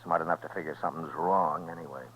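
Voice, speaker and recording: An actor playing a stern, weary frontier marshal in a 1950s radio western, terse and firm. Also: male voice, rumbling low, fairly even intonation, fast, a bit bright, slight background noise. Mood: calm, wry. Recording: muffled 1950s radio recording.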